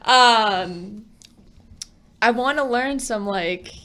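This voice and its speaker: A woman's voice: fairly high pitch, extremely slow, heavy background noise. Hesitant young woman, podcast guest. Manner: hesitant, many ums and likes. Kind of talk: casual chat.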